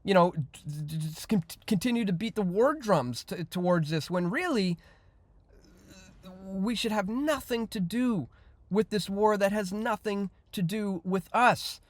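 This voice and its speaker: A man's voice: high-pitched, measured pace, dry recording. A man hosting an online news show, giving opinionated commentary in a conversational, emphatic way that repeats phrases.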